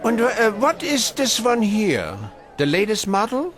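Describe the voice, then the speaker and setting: Weary old man in a radio drama, scornful and anguished, slow dramatic swings, some music behind. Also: high voice, very animated, fairly bright tone, full character voice. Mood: scornful, defiant. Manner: slow, emphatic, bitterly rhetorical with repeated phrases. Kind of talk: theatrical radio drama acting.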